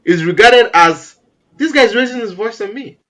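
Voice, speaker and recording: A man from Nigeria with a naturally loud voice, talking as an interview guest in an animated, emphatic way. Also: extremely high pitch, moderate pace. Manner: animated, emphatic, repeats words for stress. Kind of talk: casual interview conversation.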